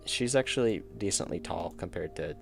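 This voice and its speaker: Low-voiced man losing his voice. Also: expressive, moderate pace, vocal fry, soft background music. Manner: rambling, thinks aloud, frequent restarts and chuckles. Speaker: streamer and indie game developer. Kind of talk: casual live-stream chat while drawing.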